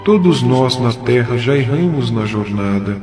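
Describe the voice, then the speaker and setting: A man playing a kindly spirit mentor in an audio drama, preaching in a measured, earnest and solemn way. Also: mid-range voice, expressive, darkish tone, natural voice. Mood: tender, reassuring. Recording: music behind the voice. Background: music.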